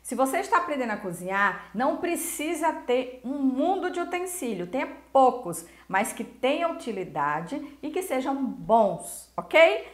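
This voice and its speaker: A woman's voice: high-pitched, sing-song, unhurried, hardly any pauses. A Brazilian woman hosting a casual cooking lesson, chatty and emphatic as she talks straight to the viewer. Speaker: cooking show host. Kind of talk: casual instructional talk to camera. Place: small indoor kitchen. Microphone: lapel mic. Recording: roomy kitchen echo.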